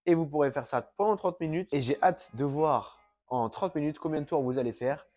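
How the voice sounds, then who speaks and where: Un coach sportif français enrhumé qui explique pas à pas un circuit d'entraînement à la maison, en parlant directement aux spectateurs et en s'essoufflant vite. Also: male voice, fairly high pitch, fairly quick, no room sound, highs cut off. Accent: French. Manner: explains step by step, addresses viewers directly. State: has a cold, breathes through the mouth, gets out of breath fast. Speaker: fitness coach. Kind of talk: home workout instruction. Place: fitness studio.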